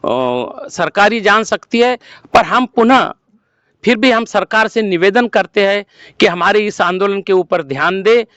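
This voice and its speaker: A man with a high-pitched voice, caught in a dry, somewhat muffled recording. He gives earnest interview answers, deliberate and halting, often driving a point home with a rhetorical question. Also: animated, fairly bright tone, no room sound. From Madhya Pradesh, India. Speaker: protest participant being interviewed. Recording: dull lo-fi close recording.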